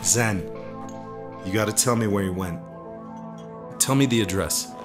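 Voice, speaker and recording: A man's voice: on the low side, a little slow, music in the background, lightly acted. A man playing a determined, reckless hero in a radio drama, insistent in manner. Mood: urgent, determined.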